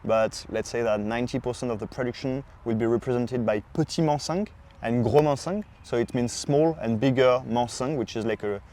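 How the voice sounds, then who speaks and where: A Frenchman with a clear French accent gives an informal, explanatory podcast talk as a sommelier. His voice sits at an average male pitch, and his non-native phrasing is hesitant and halting. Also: mellow. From France. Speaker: podcast sommelier presenting wine regions.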